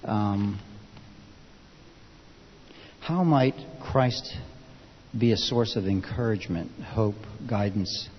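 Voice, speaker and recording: A man speaking to a group in a thoughtful, unscripted way, with a measured, halting, reflective manner, recorded in a large reverberant room. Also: lowish voice. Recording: dull, hissy, echoey hall recording.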